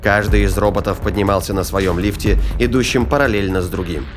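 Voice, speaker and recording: Low-voiced male narrator over prominent music. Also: fairly even intonation, a little fast. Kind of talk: scripted fiction narration. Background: dramatic music, battle sound effects.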